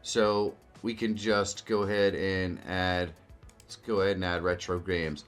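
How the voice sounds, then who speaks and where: Man, low pitch, tutorial host, casual screen-share walkthrough, background music. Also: little pitch movement, unhurried, clean tone.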